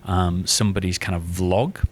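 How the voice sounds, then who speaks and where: A man's voice: low voice, fairly even intonation, moderate pace, a little hiss or hum. A British man, middle-aged, chatting enthusiastically as a podcast guest, often repeating words as he gathers his thoughts. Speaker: guest, audio drama writer. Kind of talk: casual podcast interview.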